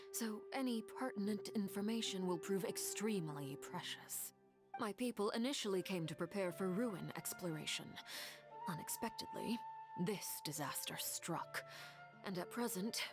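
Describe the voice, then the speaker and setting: An actress voices a composed woman who leads her household with quiet authority. Her voice sits a little low, her phrasing formal and measured. It is video game dialogue with music clearly underneath. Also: natural voice. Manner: formal, measured, precise wording. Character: composed, commanding woman leader of an orphanage family, solemn. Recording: music behind the voice.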